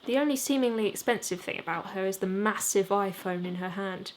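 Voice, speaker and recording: A woman reading her own novel aloud in an expressive audiobook narration style, as its author. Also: medium pitch, fairly quick, low-quality recording. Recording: steady background noise. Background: rain ambience.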